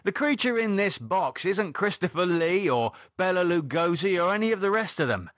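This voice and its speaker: A man's voice: sits high, very animated, normal speed, a bit bright, no room sound, clean studio sound, pitched above the performer's own voice. A man playing an exasperated, sarcastic British sceptic. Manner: dismissive, mocking, exasperated, colloquial. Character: irritated, sarcastic man, a practical no-nonsense sceptic. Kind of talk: audiobook character dialogue.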